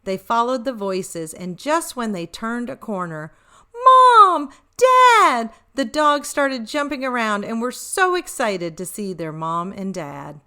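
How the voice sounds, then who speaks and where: A woman reading a story aloud for children as host, expressive and speaking directly to young listeners. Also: medium pitch, a little slow, few pauses, clear, resonant tone.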